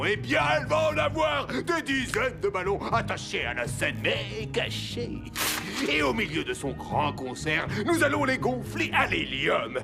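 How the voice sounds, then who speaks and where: Cartoon crocodile, boastful, theatrical, high lively voice, gravelly edge, music behind. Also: male voice, full character voice. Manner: boastful, theatrical and self-pitying. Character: scheming pompous male crocodile schemer.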